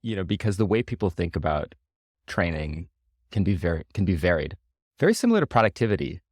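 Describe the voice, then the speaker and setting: A man chatting casually about tech as a podcast co-host, thoughtful and halting in his phrasing. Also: low-pitched, big pitch swings, a little mellow, dry recording, clean studio sound.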